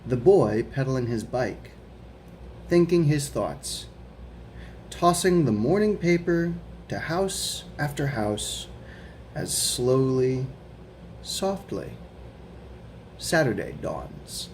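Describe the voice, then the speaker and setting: A man's voice: medium pitch, big pitch swings, slight background noise, low-quality recording. A man reading a children's picture book aloud as a guest reader, stopping to ask the young listeners questions. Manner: slow, gentle storybook reading with questions to kids.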